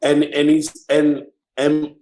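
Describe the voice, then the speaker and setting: Dominican-accented man, echoey, halting casual talk. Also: on the high side, monotone, dark tone. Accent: Dominican Spanish. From Dominican Republic. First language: Spanish. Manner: halting, repeats words and phrases as he searches for them. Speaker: artist, interview guest. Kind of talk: casual conversation.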